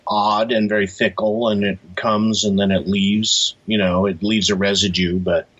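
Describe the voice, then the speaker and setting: A man's voice: fairly low pitch, level tone, moderate pace, a bit bright, slightly rough, dull audio. A middle-aged man being interviewed, reflecting thoughtfully and hesitantly, picking emphatic words. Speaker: interview guest, author.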